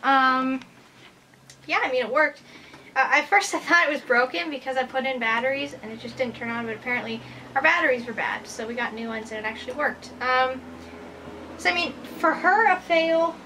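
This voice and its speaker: A young woman co-hosting a casual product review at a home desk, her voice a little raspy from just waking up, chatty with many fillers. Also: fairly quick, pushed and bright, slight background noise, poor audio. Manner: chatty, mock infomercial pitch, many fillers. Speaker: co-host.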